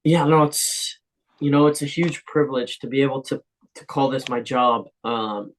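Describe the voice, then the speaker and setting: A young man, a professional footballer, answering interview questions in a relaxed, casual way with frequent ums. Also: medium pitch, moderate pace, a little hiss or hum, low-quality recording.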